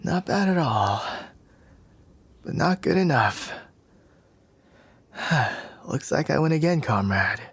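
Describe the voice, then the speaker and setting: A man's voice: on the high side, very animated, takes it slowly, long pauses, no room sound, full character voice. A man playing a cocky, teasing young warrior in an ASMR roleplay, smug and playfully possessive toward the listener. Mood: smug, taunting. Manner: teasing, smug, breathy sighs and chuckles.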